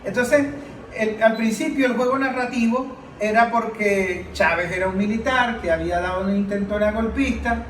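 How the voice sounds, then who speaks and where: A man with a notably high voice and a Venezuelan accent leads a political discussion. He argues emphatically and poses rhetorical questions. The sound is roomy, picked up at some distance from the microphone. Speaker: forum podcast moderator and political analyst. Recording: roomy, distant mic, faint noise.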